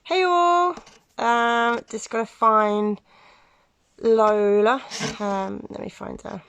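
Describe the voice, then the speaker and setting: A woman hosting a casual live stream, slightly croaky from a cold, friendly and quick to laugh. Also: a little high, a bit bright, muffled recording. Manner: friendly, laughs often, um-filled. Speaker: host. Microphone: phone or computer mic on a live stream.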